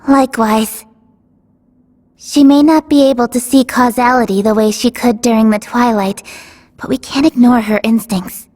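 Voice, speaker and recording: Composed young teammate played by a woman, fairly high voice, serious analytical delivery, echoing game audio. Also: steady tone, normal speed, a little mellow, natural voice. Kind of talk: anime-style video game voice acting.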